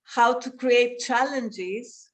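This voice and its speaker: A woman from Greece, a dancer and dance teacher, answering interview questions over a video call and often pausing to search for words. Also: on the high side. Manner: thoughtful, searching for words, frequent restarts.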